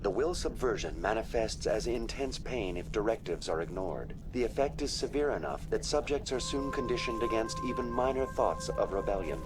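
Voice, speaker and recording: A man's voice: fairly low pitch, expressive, normal speed, hardly any pauses, music in the background, close to an everyday voice, poor audio. A man voicing an impassive male virtual assistant at a research facility in a video game, formal and clinical in delivery. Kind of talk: scripted game dialogue, informational briefing.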